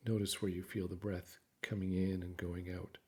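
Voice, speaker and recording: A man narrating a guided meditation in a calm, soothing, measured way, with frequent pauses. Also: sits low.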